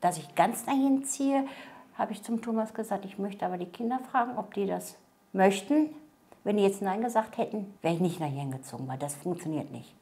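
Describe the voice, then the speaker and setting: A woman in her sixties speaks in a TV interview with her partner. Her voice sits at a mid pitch, and her manner is cheerful, with frequent laughter. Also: lively intonation, fairly quick, dark tone. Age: 60s.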